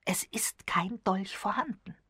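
A woman's voice: medium pitch, a little slow, sharp tone, slightly rough, no echo, whispered, clean studio sound, voice pushed up. A woman voicing a shaken male doctor in a storybook, grave and emphatic.

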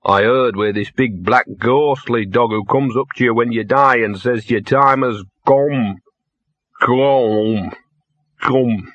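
Guy doing a drunk cynical little talking dog for an audiobook, hoarse n slurry, grumbles on and on, muffled sound. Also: medium pitch, slow, fairly bright tone, no echo. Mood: maudlin self-pity. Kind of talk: comic fantasy audiobook character.

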